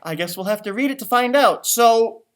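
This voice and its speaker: A man hosting a storytime for children, reading and chatting in a theatrical, halting, conversational way. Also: very high-pitched, expressive, fairly bright tone, clean tone. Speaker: storytime host and teacher. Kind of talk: children's storybook read-aloud with chatty asides.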